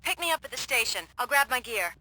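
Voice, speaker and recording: A woman playing a capable police partner in a video game, brisk and businesslike. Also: fairly high pitch, big pitch swings, sharp tone, heavily acted, low-quality recording. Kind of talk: video game character acting.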